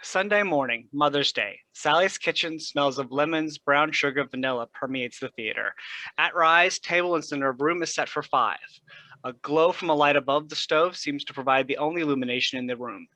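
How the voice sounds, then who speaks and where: Guy narrating a play script's stage directions, crisp bright voice, pretty dry recording with no room on it. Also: on the high side, no echo, low-quality recording.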